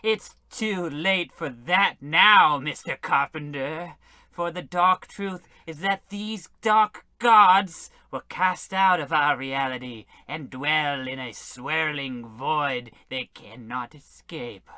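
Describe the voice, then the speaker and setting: Slow, sinister horror novelist. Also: male voice, a little high, bright, forceful tone, no room sound, heavily acted. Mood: ominous. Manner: theatrical, menacing, drawn-out. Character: sinister, grandiose horror novelist serving dark gods. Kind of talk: old radio horror comedy acting.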